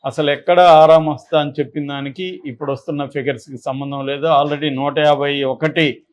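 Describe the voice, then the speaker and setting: Man, slightly high pitch, political commentary, unscripted, explanatory, emphatic, repetitive. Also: fairly even intonation, normal speed. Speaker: political analyst on a news discussion channel.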